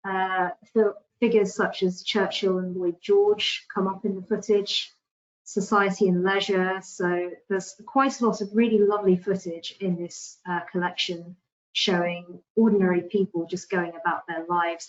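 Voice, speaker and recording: Female webinar presenter, lowish pitch, informal and hesitant delivery, dull online-call recording.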